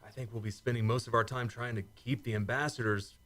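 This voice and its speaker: A man's voice: mid-range voice, fairly even intonation, fast, fairly bright tone, lightly acted. A man acting as a loyal, plain-spoken starship commander in a sci-fi radio play, with military deference and a wry edge. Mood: exasperated.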